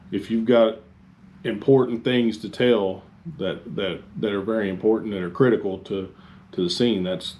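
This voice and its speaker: Man, slightly low pitch, fire service instructor, informal training talk, halting, repetitive phrasing. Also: a little mellow. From Texas, United States.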